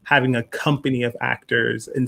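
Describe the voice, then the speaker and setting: A man hosting an online festival conversation in an informal, halting way, speaking over a remote call. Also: medium pitch, flat delivery, vocal fry, sharp tone. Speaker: host, festival producer.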